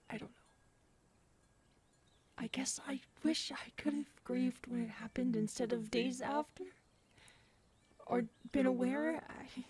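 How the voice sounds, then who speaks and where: A woman acting in an ASMR audio roleplay as a gentle, shaken specialist who confides haltingly and softly. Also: on the high side, slightly rough, heavily acted. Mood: grieving. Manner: soft-spoken, hesitant, halting.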